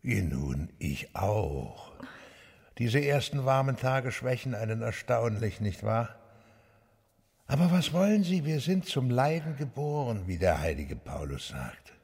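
An actor playing a stout, kindly but obtuse village priest in a radio drama, chatty and matter-of-fact, with a husky, gravelly voice. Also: male voice, mid-range voice, very animated, unhurried, a little mellow, full character voice. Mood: genial, chatty. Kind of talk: German radio drama acting. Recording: long echoing reverb.

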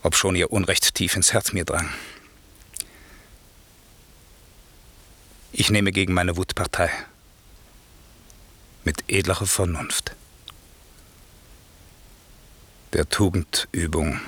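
An actor playing a stern, wronged sorcerer lord turning to forgiveness, reciting classical radio drama verse in a solemn, measured, declamatory way. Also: male voice, low voice, bright, forceful tone, slightly rough, exaggerated voice. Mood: grave, moved.

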